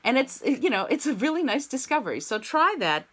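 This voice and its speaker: A woman leads a singing class over a video call. Her pitch swings widely as she explains and sings quick demo notes. She is encouraging and talks things through. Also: very animated, fairly bright tone. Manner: encouraging, demonstrates sung vowels. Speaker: voice teacher. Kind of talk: online singing lesson.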